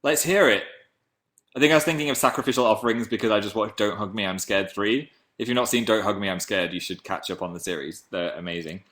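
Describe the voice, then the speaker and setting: Deep-voiced man chatting on a livestream. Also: fast, a bit bright. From England. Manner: playful, jumps between high and low pitch. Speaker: livestream host. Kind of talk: casual live chat reading comments.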